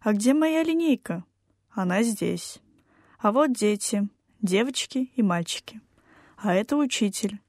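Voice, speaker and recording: A woman reading a textbook language lesson aloud, slowly and with careful, clear enunciation. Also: medium pitch, animated, darkish tone, dry recording, clean studio sound. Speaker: language course reader.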